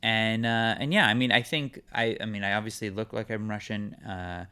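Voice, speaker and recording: A young man from California, a guest actor talking casually in an interview, relaxed and rambling with lots of fillers. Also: on the low side, normal speed, clean tone.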